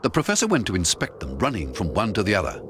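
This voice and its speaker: A man with a fairly low voice narrates an adventure story. His delivery is theatrical and lively in intonation, with music clearly playing behind him. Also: quick pace. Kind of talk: dramatic scripted first-person story narration.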